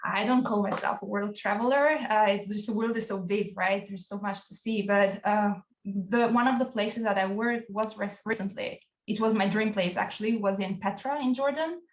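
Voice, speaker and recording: A woman's voice: mid-range voice, normal speed. A woman in her thirties from Albania, a chatty and upbeat guest answering interview questions over a video call. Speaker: guest, people analytics analyst. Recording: roomy, dull remote audio.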